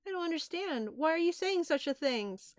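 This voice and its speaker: A woman's voice: extremely high pitch, lively intonation, normal speed, no echo, studio quality, voice pushed up. A woman voicing an emotional, guilt-ridden female rabbit in a comic, pleading and full of grief. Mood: anguished.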